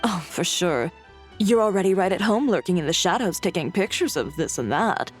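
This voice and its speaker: Game role: deadpan, sardonic woman voiced by an actress, low-key delivery, faint music. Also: normal speed, natural voice. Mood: teasing. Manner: dry, teasing, deadpan. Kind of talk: video game voice acting.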